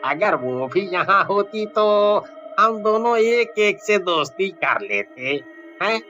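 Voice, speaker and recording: Casting: sleazy, jumpy young village crony, acted by a man, piercingly bright voice, unhurried, for a cartoon. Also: high-pitched, very animated, faint music behind, heavily acted. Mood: wheedling, amused. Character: sleazy young village sidekick, scheming yet easily panicked. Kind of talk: Hindi cartoon story voice acting.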